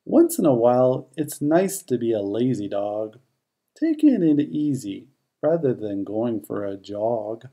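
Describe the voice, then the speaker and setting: A narrator voicing a lazy, relaxed beagle dog in an unhurried, rhyming children's storybook. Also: medium pitch.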